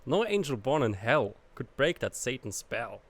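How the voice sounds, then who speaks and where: A man from Germany with a noticeable German accent speaks as a guest on a casual podcast. He recites song lines in a steady, even voice, then drifts into halting, self-correcting chatter. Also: medium pitch. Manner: reads lyrics aloud between halting, restarting comments.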